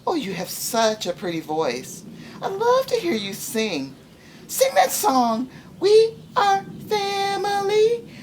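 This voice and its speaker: Bright-spirited, playful flowers acted by a woman storyteller chatter and sing in a high, swooping voice. They gush with showy, youthful excitement in a storybook style. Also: takes it slowly, slight background noise, full character voice. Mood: excited, admiring. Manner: gushing, playful, sing-song, breaking into song.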